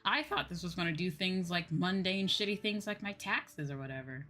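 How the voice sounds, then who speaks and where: A woman's voice: on the low side, expressive, moderate pace, bright, forceful tone, faint music behind. A woman livestreamer chatting casually while gaming, blunt and swearing freely, repeating her points for emphasis. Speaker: livestream host, VTuber.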